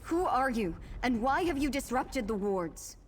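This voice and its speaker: An actress voicing a composed, artistic young sister in a fantasy video game, with formal, measured phrasing. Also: female voice, high-pitched, sing-song, in a large hall, slight background noise. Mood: curious, formal.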